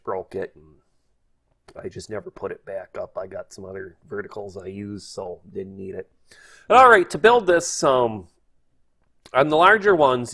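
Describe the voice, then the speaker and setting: Man, lowish voice, folksy, hesitant, casual hobby how-to narration. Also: fairly bright tone. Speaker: host, hobbyist instructor. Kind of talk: casual spoken tutorial over screen.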